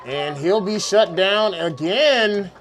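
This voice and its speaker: A man calling play-by-play for a youth football game, steady and rising on big plays. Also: sits high, takes it slowly, slight background noise. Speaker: play-by-play announcer.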